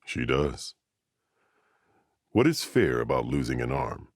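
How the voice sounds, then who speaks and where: Very deep, commanding warrior chief. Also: male voice, sing-song, takes it slowly, creaky, slightly rough, no room sound, clean studio sound, heavily acted. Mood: stern, measured. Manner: solemn, formal, authoritative. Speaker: video game character voice actor. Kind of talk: dramatic game dialogue.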